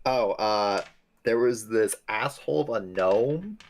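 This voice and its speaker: Mid-low speaker improvising at game night. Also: expressive, takes it slowly. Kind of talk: casual improvised character narration.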